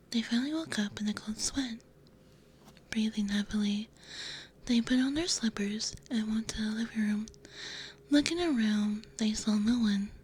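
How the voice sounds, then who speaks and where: A woman narrating a bedtime story in ASMR style, in a hushed, gentle whisper close to a binaural microphone. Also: a little high, a little hiss or hum.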